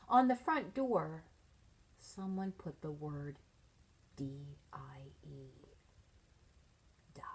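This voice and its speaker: A middle-aged woman, a teacher reading a picture book aloud to children, expressively and with pauses to ask them leading questions. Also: sits low, darkish tone, slight background noise, low-quality recording. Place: small furnished room at home, indoors.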